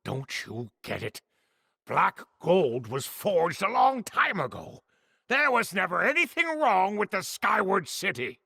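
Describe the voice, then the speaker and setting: A defiant game hothead with a high, forceful voice that has a gravelly edge. He is biting, indignant and accusatory. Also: big pitch swings, unhurried, no room sound, clean studio sound, heavily acted. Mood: exasperated. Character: hot-tempered, defiant male sect member. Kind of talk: dramatic video game acting.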